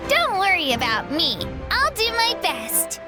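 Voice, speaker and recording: A woman's voice: very high-pitched, huge pitch swings, measured pace, full character voice. A woman voicing an earnest, plucky young girl competitor in a video game, with a girlish tone. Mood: earnest, reassuring. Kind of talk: video game character acting. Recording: loud music under the voice.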